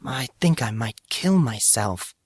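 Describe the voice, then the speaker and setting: A man's voice: medium pitch, lively intonation, slow pace, husky, dry recording, clean studio sound. A man voicing a distressed, guilt-ridden teenage girl in an audio drama, hesitant and confessional. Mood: mortified.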